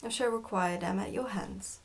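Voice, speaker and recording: A woman's voice: medium pitch, moderate pace, breathy edge. Woman voicing a sly, scheming goblin father.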